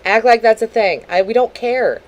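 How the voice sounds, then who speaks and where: Lady doing a loud mocky heckler voice, taunting, kinda far off mic w some hiss. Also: on the high side, moderate pace, natural voice. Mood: sneering.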